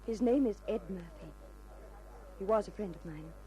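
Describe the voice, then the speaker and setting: Woman playing a breathy, dramatic radio femme fatale. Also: mid-range voice, normal speed, warm tone, highs cut off, natural voice. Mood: anxious. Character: seductive, desperate glamorous female fashion model. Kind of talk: old-time radio crime drama acting.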